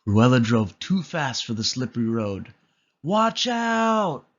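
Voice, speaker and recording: A man reading a children's story aloud as an expressive narrator. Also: mid-range voice, moderate pace, dull audio. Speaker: storybook reader.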